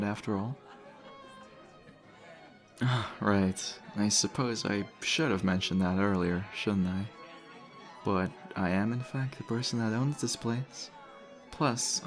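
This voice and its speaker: A man's voice: fairly low pitch, natural voice. A man playing a charming, teasing vampire host in an intimate, conversational roleplay style. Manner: flirtatious, suave, conversational. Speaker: audio roleplay voice actor. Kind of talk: intimate ASMR roleplay acting. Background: background music.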